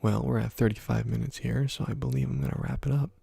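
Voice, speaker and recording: A man giving soft-spoken ASMR gaming commentary in a hushed, breathy, partly whispered voice, casual and musing, close to the microphone. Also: medium pitch, steady tone, fast talker, no echo, faint music behind. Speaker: gaming video host.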